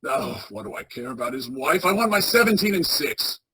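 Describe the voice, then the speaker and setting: A woman playing a bitter, miserly old male boss in a radio play, with a raspy, scornful, grumbling delivery. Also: low voice, very animated, a little fast, pushed and bright, heavily acted. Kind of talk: theatrical radio play acting.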